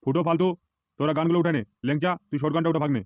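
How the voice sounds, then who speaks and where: Cartoon gang boss played by a man: boastful, commanding uncle, quick delivery, phone-like recording. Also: on the high side, fairly even intonation, no room sound, close to an everyday voice. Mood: boastful threats. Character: swaggering village gang boss and doting uncle. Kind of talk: amateur cartoon dubbing. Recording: tinny, phone-like narrow sound.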